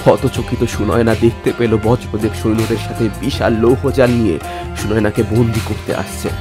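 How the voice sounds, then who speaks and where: A man reading a dramatic story as a narrator, with measured, literary delivery. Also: lowish voice, fairly even intonation. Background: music.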